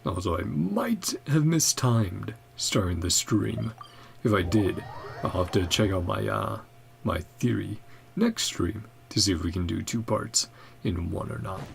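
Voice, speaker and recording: A man live-streaming a game with casual, rambling, apologetic asides to viewers in a low, creaky voice. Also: expressive, unhurried. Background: faint game music.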